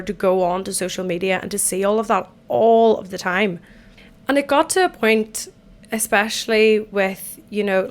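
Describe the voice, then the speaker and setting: A young Irish woman hosts her own podcast, chatting casually from an empty bathtub at home. Her voice is a bit croaky from a night out, and she rambles along in a self-deprecating way. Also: mid-range voice. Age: 20s. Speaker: podcast host. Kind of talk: casual solo podcast chat.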